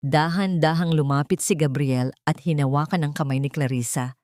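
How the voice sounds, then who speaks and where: A Filipino woman narrates an audio drama in a fairly low voice. Her delivery is measured and solemn, with a sentimental storyteller's phrasing. The recording is dry and close, with little room sound. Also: fairly even intonation, a little mellow, dry recording.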